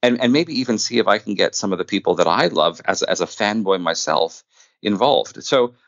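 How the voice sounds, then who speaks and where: A man's voice: lowish voice, lively intonation, brisk, fairly bright tone, no room sound, lo-fi sound. A middle-aged man telling stories as a podcast guest in an enthusiastic, self-deprecating, conversational way. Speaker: podcast guest, audio drama producer and narrator. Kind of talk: conversational interview storytelling.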